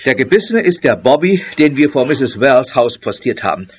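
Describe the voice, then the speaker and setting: A man playing an earnest, excitable chief inspector in a German horror audio drama. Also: mid-range voice, moderate pace, breathy edge. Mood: excited. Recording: dull, noisy audio-drama tape.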